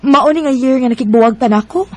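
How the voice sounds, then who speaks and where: A high-voiced wife in a radio drama. She speaks with open emotion, loving yet quick to show hurt and sharpness. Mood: curt, hurt. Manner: expressive, emotional delivery.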